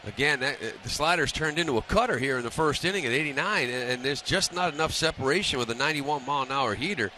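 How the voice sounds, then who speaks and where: Bright-voiced male baseball analyst. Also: mid-range voice, expressive, fast talker, hardly any pauses. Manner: conversational, opinionated technical breakdown. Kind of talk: live sports radio analysis. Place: stadium broadcast booth. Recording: some background ballpark noise.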